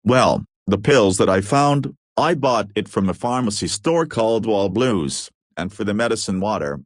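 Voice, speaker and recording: Guy voicing a helpful bumbling cartoon superhero dog, lowish voice, earnest, dry recording. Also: lively intonation, normal speed, dry recording.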